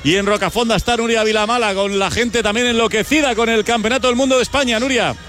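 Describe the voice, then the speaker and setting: A man with a Spanish accent hosting a live sports broadcast, excited and emphatic. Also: very high voice, quick pace, soft background music. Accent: Spain.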